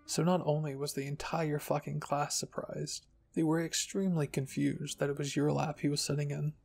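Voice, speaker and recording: Husky, even-toned male ASMR narrator. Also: on the high side, normal speed, soft background music. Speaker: narrator and channel host. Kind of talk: ASMR fan fiction reading.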